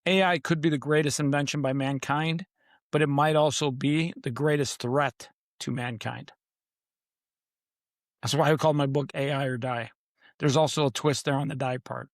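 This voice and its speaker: A man's voice: mid-range voice, fairly even intonation, normal speed, dry recording, clean studio sound. A man speaking as an interview guest, conversational but emphatic, in short punchy declarations with dramatic repetition. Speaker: podcast guest, author.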